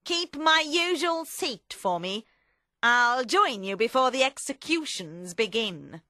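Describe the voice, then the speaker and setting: A woman voicing a cold, vengeful revolutionary woman in a storybook reading, forceful, deliberate and ominous. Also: a little high, big pitch swings, dry recording, clean studio sound, voice pushed up, exaggerated voice. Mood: commanding.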